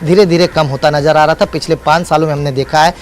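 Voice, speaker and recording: A man's voice: on the high side, fairly even intonation, quick pace, a little hiss or hum. A man reporting live as a news correspondent, speaking unscripted in emphatic, repetitive phrases with hesitant fillers.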